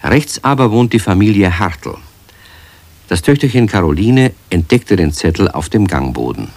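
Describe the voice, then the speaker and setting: An actor as the narrator of a children's radio play, telling the story in a calm, gently amused way. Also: male voice, sits low, moderate pace, no room sound.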